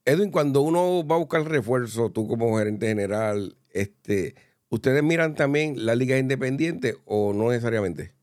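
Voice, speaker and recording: A male sports radio host asks questions in a conversational, unhurried way. His voice sits at an ordinary pitch. The recording is dry, as in a studio. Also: expressive, a little mellow, no echo.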